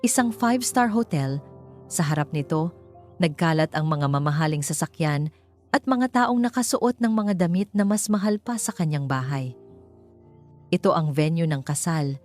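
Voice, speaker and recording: A woman with a Filipino accent narrates a scripted romance story in a dramatic, measured way. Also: deep voice, expressive, a little mellow. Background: background music.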